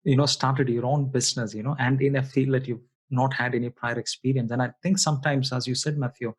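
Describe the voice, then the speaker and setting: A man's voice: mid-range voice, steady tone, fairly quick. A man hosting an interview, polite and formal, often repeating words of thanks and praise before each question. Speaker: interview host. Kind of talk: podcast interview.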